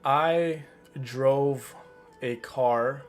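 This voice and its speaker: A man's voice: mid-range voice, drawn-out words, faint music behind. A man from Canada chatting casually as a streamer, joking and rambling over gameplay.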